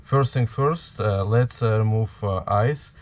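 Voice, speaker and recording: A man with a heavy Ukrainian accent, giving a hands-on tutorial at a workbench in a halting way, full of fillers. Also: fairly low pitch. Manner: halting, many fillers, talks while working. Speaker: prop maker.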